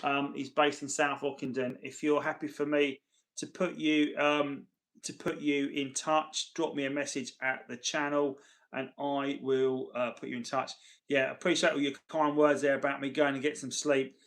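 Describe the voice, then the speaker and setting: A man hosting a casual livestream, chatting chummily with viewers, his voice tired and getting gravelly. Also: mid-range voice, flat delivery, moderate pace, fairly bright tone. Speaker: livestream host. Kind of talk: casual livestream chat, reading and answering viewer messages.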